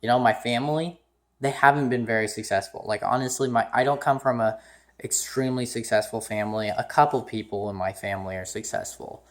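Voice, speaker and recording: A man's voice: medium pitch, a little fast. A nineteen-year-old man from the United States giving a casual, rambling vlog update, full of ums and restarts. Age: young adult. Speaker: YouTuber.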